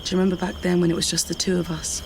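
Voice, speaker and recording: Actress as a weary British woman, gravelly edge. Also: on the low side, level tone, rapid-fire, a little mellow, lightly acted. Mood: tender, reflective. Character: weary, pitying middle-aged British woman, candid partner. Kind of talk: naturalistic radio drama acting. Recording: night insects and outdoor ambience noise.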